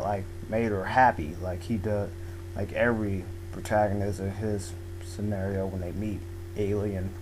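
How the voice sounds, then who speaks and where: A young man going hoarse, giving a rambling, casual talk about a manga full of "like" and restarts. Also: lowish voice, a little mellow, a little hiss or hum, rough recording. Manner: rambling, filler-heavy, restarts often. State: losing his voice. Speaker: manga video blogger. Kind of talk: casual spoken manga review. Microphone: home microphone.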